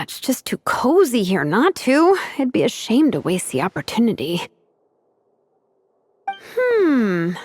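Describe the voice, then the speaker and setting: A woman's voice: on the high side, slight background noise. A woman voicing a confident, breezy, nap-loving young woman in an anime-style video game dub.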